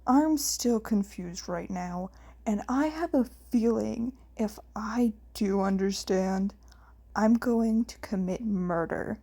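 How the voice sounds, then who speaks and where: A woman's voice: medium pitch, slow pace, dark tone. A woman voicing a curt, grumbling, protective man, a younger brother, in story dialogue. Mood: grumbling, threatening.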